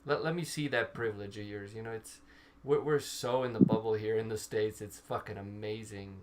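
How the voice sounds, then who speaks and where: A man's voice: fairly low pitch, fairly even intonation, fairly quick. A man, co-hosting a casual chat on a video call with a headset and often restarting his sentences. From Serbia. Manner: talkative, stops and restarts often. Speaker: co-host. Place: small room indoors. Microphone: over-ear headset on a video call. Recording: noisy video call audio.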